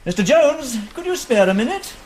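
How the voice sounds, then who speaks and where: An actor playing a quick-witted doctor and robbery ringleader in a radio drama, brisk and commanding. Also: male voice, extremely high pitch, sing-song, darkish tone, a little hiss or hum. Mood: bossy. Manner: brisk, commanding, smoothly bluffing.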